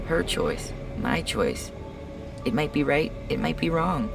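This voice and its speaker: A man's voice: fairly high pitch, animated, takes it slowly, gravelly, recorded from a distance, music in the background, voice pushed up, full character voice. Man voicing an earnest robot, set back from mic. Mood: earnest, reflective. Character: earnest, anxious humanlike robot with a conscience. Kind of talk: audio fiction dialogue.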